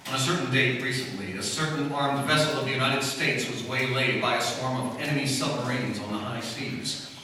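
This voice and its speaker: A man's voice: medium pitch, brisk, bright, forceful tone, lightly acted. An actor playing a grave, formal male government official in a live radio drama reading, heard from far off in an echoey hall. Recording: echoey hall, mic far off, faint noise.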